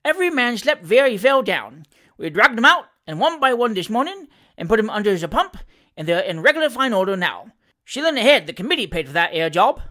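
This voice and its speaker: A man's voice: sits high, very animated, fairly quick, sharp tone, voice pushed up, exaggerated voice. A man voicing a breezy, cheeky Cockney manservant in an audiobook, talking emphatically and colloquially. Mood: breezy, amused.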